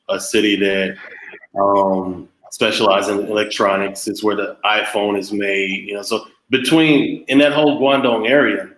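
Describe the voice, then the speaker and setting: A man's voice: fairly low pitch, a bit bright. A man explaining things in a casual chat, in a hesitant, piecemeal way. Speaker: panel guest. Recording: echoey room.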